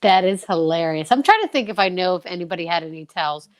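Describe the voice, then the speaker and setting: A woman's voice: on the low side, lively intonation, quick pace. A woman hosting a casual chat show, talking in an effusive, encouraging manner. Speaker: show host.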